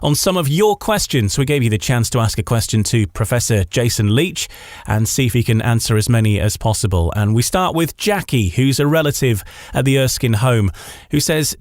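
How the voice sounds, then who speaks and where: A man hosts a radio show in a presenter's style, linking segments and putting questions to a guest. His voice is of average pitch. The sound is dry and close, with no room echo. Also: expressive, moderate pace, darkish tone. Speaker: radio host and interviewer.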